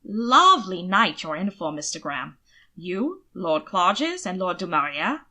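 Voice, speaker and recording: A woman's voice: fairly low pitch, sing-song, measured pace, bright, forceful tone, exaggerated voice. A woman voicing a loyal, tactful, good-humoured male valet in a storybook reading. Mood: encouraging.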